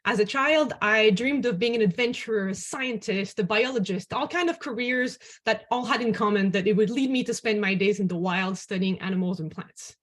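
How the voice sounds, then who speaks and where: A woman's voice: medium pitch, normal speed, hardly any pauses. A woman hosting an online dialogue, giving a prepared presentation. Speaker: host and moderator.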